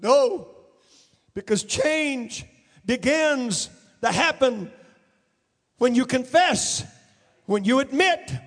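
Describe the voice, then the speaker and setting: A man preaching a dramatic sermon, acting out voices in the story and rising to shouts at the peaks. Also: extremely high pitch, long reverb tail. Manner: dramatic, acts out biblical figures in quotes, shouts at peaks. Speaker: pastor.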